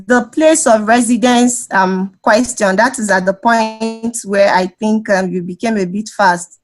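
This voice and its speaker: A woman taking part in an online workshop, politely asking the trainer to slow down so she can keep up. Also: mid-range voice, steady tone, music in the background. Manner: polite, apologetic request to slow down. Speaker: workshop participant. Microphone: computer mic on a video call.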